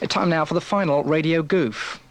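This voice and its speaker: A man's voice: fairly high pitch, moderate pace. A man presenting live radio as a DJ, flustered and rambling. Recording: noisy old radio recording.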